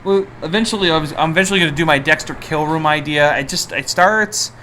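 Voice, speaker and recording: Bright-voiced man hosting a casual podcast. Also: fairly high pitch, quick pace. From Canada. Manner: informal, mildly profane. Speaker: podcast host. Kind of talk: casual chat interview. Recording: faint background noise.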